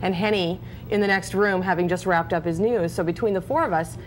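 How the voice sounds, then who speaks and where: A woman in her thirties speaks frankly and earnestly about being a morning radio co-host. Her voice is a little low. Faint studio noise hums behind her. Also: brisk, low-quality recording. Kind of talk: casual panel chat.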